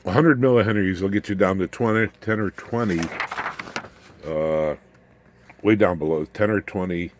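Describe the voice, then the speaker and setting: A man giving an informal, unscripted electronics demonstration, haltingly, muttering asides as he works with his hands. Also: low voice, slight background noise. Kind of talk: informal unscripted technical show-and-tell.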